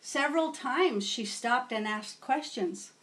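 A middle-aged woman reading a picture book aloud to children in an expressive, measured storytelling style, in a room at home. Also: sits high. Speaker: storybook reader. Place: small home room with bookshelves.

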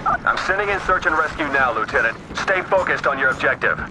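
An actor playing a stern military commander in a video game, giving clipped, authoritative orders over the radio. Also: male voice, on the high side, expressive, fairly quick, noisy background, close to an everyday voice. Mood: stern, urgent. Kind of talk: dramatic video game voice acting.

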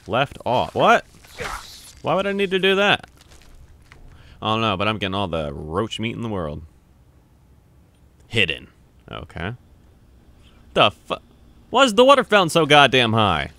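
A man's voice: on the low side, big pitch swings, unhurried, long pauses. A young man playing a video game, chatting casually, swearing and yelping when startled, and reading on-screen text aloud. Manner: loud startled yelps and swearing. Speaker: gamer playing on camera. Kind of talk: casual let's-play commentary.

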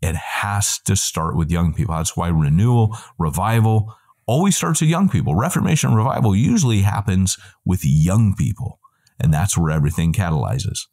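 A middle-aged man, a guest on a video call, giving a long, animated answer full of quick asides and self-corrections, heard through wired earbuds in a room at home. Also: low-pitched. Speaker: guest, church planting trainer.

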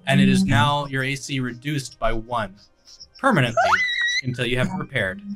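A man in his thirties narrating as game master of a tabletop game on a headset, halting and relaxed, with many fillers. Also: mid-range voice, loud music behind the voice.

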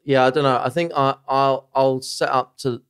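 A man's voice: medium pitch, flat delivery, moderate pace, a bit bright, clear, resonant tone. A man in his thirties from Essex with a southern English accent, chatting casually and a little hesitantly as a podcast co-host. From Essex, England. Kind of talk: casual chat. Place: small podcast studio. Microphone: close microphone on boom arm.

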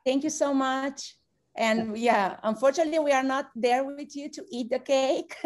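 A woman hosting an online event, speaking haltingly with many pauses. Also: fairly high pitch, darkish tone. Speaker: event host.

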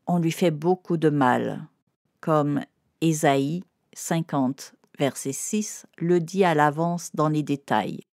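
A woman's voice: low-pitched, slow, creaky, a little mellow, no room sound, clean studio sound. A woman narrating a scripted lesson for children, explaining simply and directly as if to one child.

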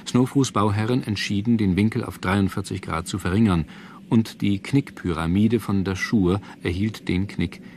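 A man reading scripted documentary narration in a measured, formal manner. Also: fairly low pitch, a little mellow, a little hiss or hum. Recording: music bed under narration. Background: background music.

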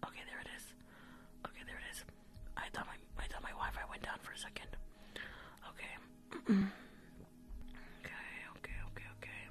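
A young woman whispering breathily in an ASMR medical roleplay, giving soft, repetitive, casual instructions close to the mic. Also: fairly quick, pauses often, faint music behind. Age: young adult. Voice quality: breathy whisper, mostly unvoiced. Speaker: ASMR streamer playing a doctor. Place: small room at home.

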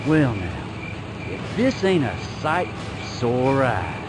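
A man's voice: medium pitch, big pitch swings, takes it slowly. An actor playing a vengeful, taunting male villain with a drawling, strained delivery in a video game. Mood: sneering, taunting. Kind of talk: video game cutscene acting. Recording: game audio under loud vehicle noise.

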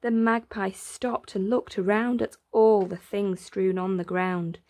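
A woman reading a rhyming children's picture book aloud in an expressive, deliberate way, drawing out words for emphasis. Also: mid-range voice. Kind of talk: expressive read-aloud of a rhyming bedtime story.

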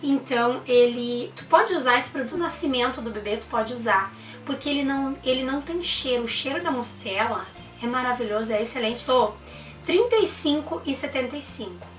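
A woman's voice: high-pitched, expressive, fairly quick, a bit bright, reverberant, far from the mic, faint music behind. A young woman, reviewing baby products in a chatty, enthusiastic way and using lots of diminutives. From Brazil. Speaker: mom vlogger. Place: indoor room.

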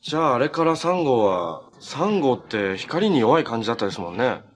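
An actor playing a cheerful, earnest, easygoing young hero in a TV drama, talking in a casual, boyish way. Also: male voice, medium pitch, big pitch swings, fast talker, lightly acted. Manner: casual, friendly, boyish phrasing. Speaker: actor in a TV superhero series. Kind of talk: naturalistic TV drama acting.

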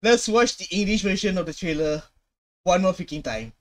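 A man in his twenties giving casual, excitable reaction commentary full of slangy exclamations, recorded in a room at home. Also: sits high, normal speed, noisy background. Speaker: reaction video host. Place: small indoor room at home. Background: game trailer music.

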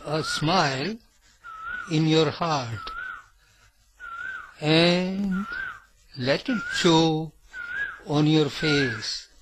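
Very slow-paced man guiding meditation. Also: fairly high pitch, background noise. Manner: deliberate short phrases with long pauses. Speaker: guided meditation instructor. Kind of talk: slow guided yoga nidra meditation narration.

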